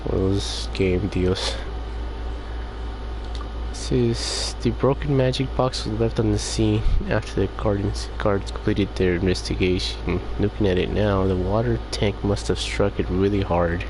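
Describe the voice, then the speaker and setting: A man's voice: on the low side, fairly even intonation, unhurried, noisy background. A man casually commenting while playing a game, reading its text aloud with mumbled asides. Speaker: gamer.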